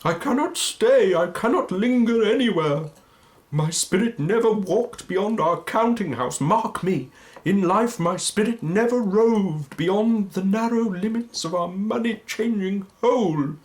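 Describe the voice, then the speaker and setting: A man voicing a tormented male ghost in chains, with a high, quavering, drawn-out wail. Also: a little mellow. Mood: anguished.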